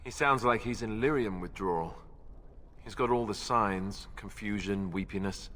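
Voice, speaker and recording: Knowing game companion, deep voice, plain explaining, big reverb, slight noise. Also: male voice, moderate pace, pushed and bright. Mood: concerned, wry. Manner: matter-of-fact, explanatory. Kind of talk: video game dialogue. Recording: long hall reverb, faint noise.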